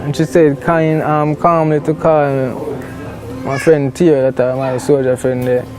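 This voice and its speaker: A man's voice: fairly high pitch, flat delivery, unhurried, music in the background. A young man with a broad Jamaican accent giving an informal interview recollection in dialect. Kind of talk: informal spoken recollection in Patois.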